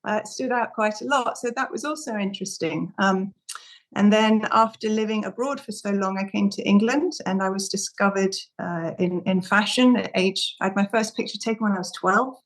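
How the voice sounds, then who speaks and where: Woman, 50s, raised in Singapore and Indonesia; gentle, reflective podcast guest, mid-range voice. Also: mid-range voice, moderate pace, low-quality recording. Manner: gentle, reflective, with frequent restarts. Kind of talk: casual interview talk.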